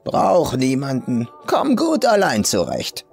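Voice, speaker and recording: Gruff, stout old farm woman, played by a man: curt rural horror-story character, lifted pitch, music beneath. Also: huge pitch swings, slow, exaggerated voice. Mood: curt, bossy. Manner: curt, blunt, clipped colloquial speech.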